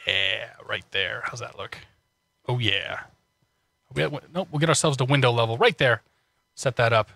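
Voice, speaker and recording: Bright-voiced chatty sim streamer, congested. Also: male voice, medium pitch, normal speed, vocal fry, pauses often, no room sound, studio quality. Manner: chatty, frequent restarts, laughs often. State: slightly congested. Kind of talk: casual live-stream chat.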